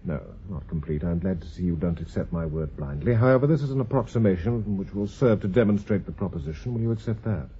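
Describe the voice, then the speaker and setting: An actor playing an authoritative senior professor with a British accent, measured and probing like a tutor, in a radio drama. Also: male voice, deep voice, animated, warm tone, slight background noise. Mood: probing, instructive. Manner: measured, commanding, questioning like a tutor. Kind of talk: BBC radio drama acting. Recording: slightly dull radio drama recording.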